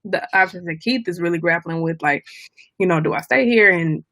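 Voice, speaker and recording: A woman hosting a casual book discussion, speaking thoughtfully and haltingly as she searches for her words. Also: fairly low pitch, a bit bright, a little hiss or hum. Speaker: book club discussion host.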